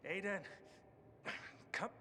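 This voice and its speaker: A wounded, urgent ally. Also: male voice, slow pace, sharp tone, a little hiss or hum. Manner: urgent, strained, commanding. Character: wounded urgent male ally, middle-aged. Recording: game cutscene with music behind.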